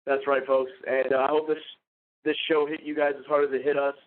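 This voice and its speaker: A man's voice: medium pitch, level tone, fairly quick. A man hosting a podcast, wrapping up the show with effusive thanks and rambling sign-offs. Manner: effusive, rambling with fillers and restarts. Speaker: podcast host. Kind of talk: casual show outro. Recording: phone-like narrow line.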